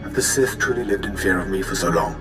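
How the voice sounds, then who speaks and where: Echoing, serene ancient hologram sage. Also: male voice, sits low. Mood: calm, formal. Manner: measured, wise, solemn. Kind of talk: video game cutscene acting. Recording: echoing voice over game music.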